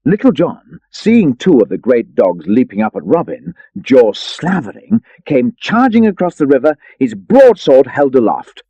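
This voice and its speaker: A man reading an adventure story as an audiobook narrator, in an expressive, theatrical storytelling manner. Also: medium pitch, unhurried, few pauses, breathy edge, dry recording, lo-fi sound.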